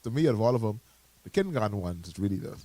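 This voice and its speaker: A man's voice: on the low side, sing-song, very fast, dark tone. A Bahamian man co-hosting a morning radio show, teasing his co-host and laughing often as they chat. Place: radio studio.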